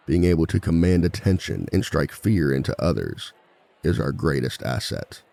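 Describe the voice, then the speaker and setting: Very deep, gravelly villain lecturer, acted by a man. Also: sing-song, mellow, exaggerated voice. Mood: confident, instructive. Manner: measured, polite, dryly authoritative. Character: composed, dry-witted male villain teaching a relationship seminar. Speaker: seminar instructor. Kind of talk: scripted ASMR roleplay monologue.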